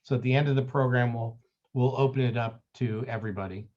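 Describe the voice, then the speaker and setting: A man moderates an online panel and introduces a guest at length. His voice sits at a middle pitch, and the video call audio sounds a little muffled. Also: fairly even intonation, darkish tone. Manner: friendly, slightly halting. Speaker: webinar moderator. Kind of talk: hosting a webinar, reading a guest introduction.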